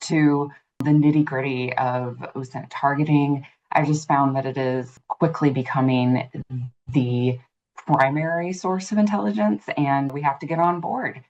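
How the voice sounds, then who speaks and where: 30s woman talking steady and earnest, super low voice, kinda muffled audio. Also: a little slow, slight background noise. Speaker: interview guest. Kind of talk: interview answers.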